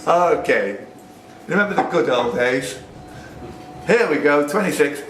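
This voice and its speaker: A man's voice: fairly high pitch, expressive, measured pace, fairly bright tone. A man hosting a quiz, reading out questions to players and dropping in jokey asides. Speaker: quiz host and question setter. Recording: noisy, echoey room recording.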